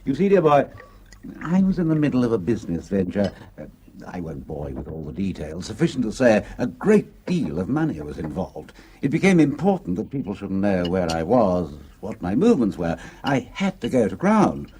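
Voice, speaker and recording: An actor playing a suave, smooth-talking male schemer in a radio drama, condescending and fond of saying dear boy. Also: on the low side, sing-song, moderate pace, warm tone. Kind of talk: 1970s radio drama acting. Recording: old radio broadcast with faint hiss.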